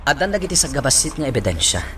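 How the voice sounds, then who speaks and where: An actor playing an earnest, firm and direct police chief in an Ilocano radio drama. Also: male voice, mid-range voice, sing-song, very fast, fairly bright tone, a little hiss or hum.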